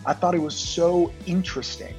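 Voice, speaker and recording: A man's voice: on the high side, moderate pace, darkish tone, soft background music. A young man from Honduras, a guest telling stories in a chatty way with many "like" fillers. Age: young adult. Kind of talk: casual storytelling. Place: Toronto.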